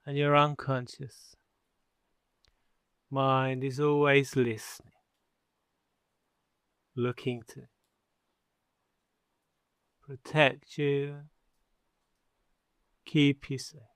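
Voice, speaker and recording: Man, hypnotherapy narration, extremely slow pace, long pauses, soothing, close dry sound. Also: medium pitch, level tone, a bit bright, smooth, no room sound. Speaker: hypnotherapist guiding a session. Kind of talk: guided sleep hypnosis narration.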